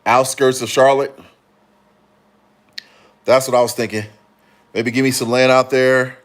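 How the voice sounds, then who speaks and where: A man's voice: mid-range voice, unhurried. Rambling man chatting on a livestream. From Chicago, Illinois. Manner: relaxed, rambling, frequent restarts and fillers. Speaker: livestream host. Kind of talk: casual livestream chat reading comments.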